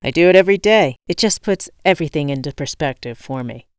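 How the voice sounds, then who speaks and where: A serene, friendly tradesman, played by a woman narrator in an audiobook. He sounds earnest and cheerful, fairly low for a female voice, with a dry close recording. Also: expressive, quick pace, clear, resonant tone, no room sound. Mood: beaming. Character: beaming, serene male locksmith keen on meditation. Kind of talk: acted audiobook dialogue.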